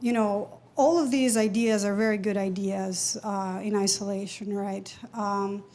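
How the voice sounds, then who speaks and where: Woman from Europe in the audience, measured delivery, faint room hum behind. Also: mid-range voice, fairly even intonation, darkish tone. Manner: measured, with many fillers. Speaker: audience member. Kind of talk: question-and-answer at a talk.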